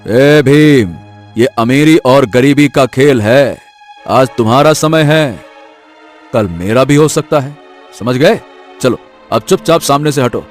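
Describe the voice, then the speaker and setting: A man's voice: mid-range voice, slow, soft background music, lightly acted. A man voicing a poor, earnest and anxious young woodcutter in a cartoon story, speaking hesitantly. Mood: defiant. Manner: hesitant, stammering, pleading. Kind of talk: animated story voice acting.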